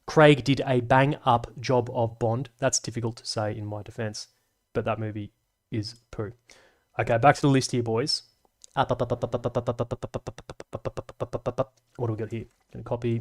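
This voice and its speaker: A man's voice: medium pitch, steady tone, a little fast. A young man hosting a live stream, rambling and swearing casually as he reads chat and laughs along.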